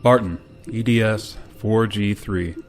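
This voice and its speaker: A man playing a grave, reluctant spaceship pilot in a radio drama, speaking in a solemn, halting way. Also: on the low side, slight background noise. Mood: grave, official. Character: grave, reluctant male spaceship pilot bearing terrible news. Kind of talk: radio drama acting with narration.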